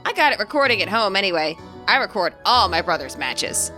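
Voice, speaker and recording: A woman puts on a friendly, boastful teenage boy from a game. He sounds eager and chummy, with a bright, lively, swooping voice over faint music. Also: medium pitch, a little fast. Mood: eager, excited.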